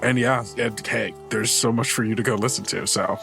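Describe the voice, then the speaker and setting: Podcast host guy wrapping up the show, upbeat and friendly, guitar music soft in the back. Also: fairly low pitch, fairly even intonation, moderate pace, vocal fry. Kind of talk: casual outro chat. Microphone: video call.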